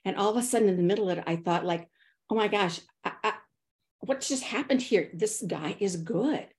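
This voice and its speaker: A middle-aged woman who is a guest on a podcast. She tells personal stories in an animated way and acts out her own quoted thoughts. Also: mid-range voice, brisk. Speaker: podcast guest, consultant and author. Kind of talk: personal storytelling in an interview.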